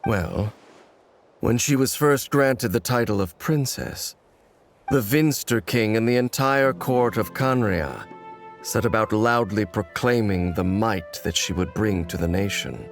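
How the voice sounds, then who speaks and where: Grave, low-voiced brooding warrior. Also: male voice. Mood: somber, bitter. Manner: measured, solemn, formal. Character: grave, brooding male warrior, coldly determined. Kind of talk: English video game voice acting. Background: background music.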